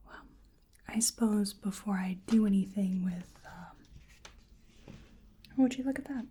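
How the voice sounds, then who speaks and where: A woman with a soft, breathy, often whispery voice doing ASMR roleplay as a bewildered, polite researcher, speaking hesitantly to the listener. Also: mid-range voice, slow. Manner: hesitant, murmuring to an unseen listener. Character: bewildered, polite female researcher examining a creature.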